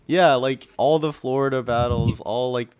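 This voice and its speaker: A man's voice: medium pitch, steady tone, moderate pace, a little mellow, clean tone. A man co-hosting a podcast, chatting casually and telling anecdotes in an informal, slangy way. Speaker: podcast co-host.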